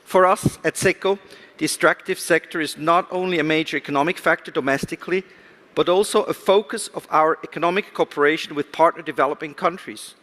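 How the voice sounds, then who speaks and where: A man speaks in a somewhat high register, delivering a formal, prepared address at a conference. He sounds like an official at the podium, measured and rhetorical, putting weight on his key words. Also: sharp tone. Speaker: government official giving a speech. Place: conference event, speaking to an audience.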